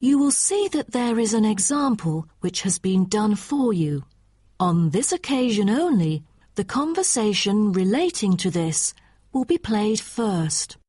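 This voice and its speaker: Female test announcer, formal scripted delivery, slightly rough husky tone, dry recording. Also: medium pitch, sing-song, measured pace, dark tone, dry recording. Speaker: exam narrator.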